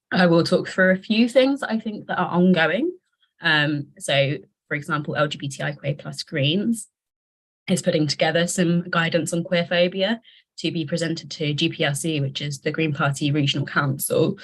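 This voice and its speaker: A party liberation officer answering questions in a livestreamed interview, measured and hedging as they talk over a video call. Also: medium pitch, a bit bright.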